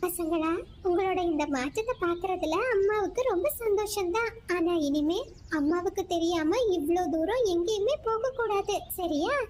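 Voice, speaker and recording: A woman voicing a gentle, caring mother sparrow in a cartoon story, with a tender motherly manner. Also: extremely high pitch, normal speed. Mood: tender, mildly firm.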